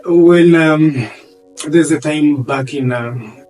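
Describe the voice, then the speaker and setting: A man answering an interview question hesitantly, in halting phrases. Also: a little high, darkish tone, faint music behind. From Uganda. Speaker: guest, asylum seeker.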